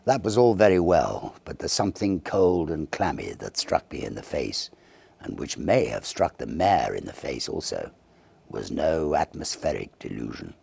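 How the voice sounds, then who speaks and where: Deep, gravelly man reading a ghost story. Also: sing-song, darkish tone. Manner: theatrical, measured storytelling with dramatic pauses. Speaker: audiobook narrator. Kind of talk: dramatic scripted narration of a Victorian ghost story.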